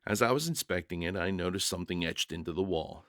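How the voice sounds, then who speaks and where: A man's voice: sits low, fast, a bit bright, no room sound, clean studio sound, pitched below the performer's own voice. A man playing a bewildered, earnest jailer who tells his story in the first person with dramatic, stammering emotion. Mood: reflective, moved. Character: young-hearted jailer narrator, bewildered, earnest, emotional father.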